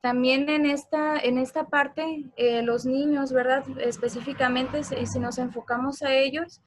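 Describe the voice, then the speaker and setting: A woman's voice: high voice, fairly even intonation, measured pace. A woman presenting an informal online lecture, explaining things and often checking for agreement with short tag questions. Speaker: presenter of a parenting talk.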